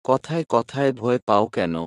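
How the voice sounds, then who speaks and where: A man voicing a calm young husband who is firm but gently reassuring, in a romantic audio story. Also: mid-range voice, dry recording, studio quality, close to an everyday voice. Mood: questioning. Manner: soft-spoken, reassuring, deliberate.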